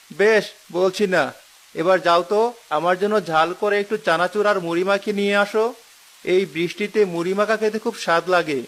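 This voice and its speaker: A man's voice: high voice, fairly even intonation, measured pace, a bit bright. A man voicing a lazy, teasing village boatman, a husband and father in a cartoon story. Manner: easygoing, teasing.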